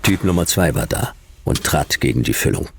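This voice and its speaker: A man playing a world-weary, sardonic private detective in a radio play, telling his story in terse, deadpan, clipped phrases. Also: rumbling low, normal speed, creaky, heavy background noise, exaggerated voice. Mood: deadpan, tense. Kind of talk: hard-boiled radio play narration and dialogue.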